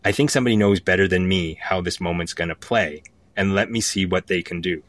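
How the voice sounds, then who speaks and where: A man with a low voice talks casually as a guest writer on a panel. He is emphatic and repeats phrases to make his point. The sound is very dry, with little room in it. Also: fairly quick, fairly bright tone, no room sound. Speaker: playwright, podcast guest.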